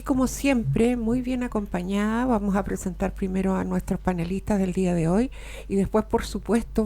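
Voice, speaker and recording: A woman's voice: mid-range voice, vocal fry. A woman with a Chilean accent hosting a casual radio talk show in a studio, chatting and reading sponsor spots. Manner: chatty, warm asides, occasional laughs and filler "eh".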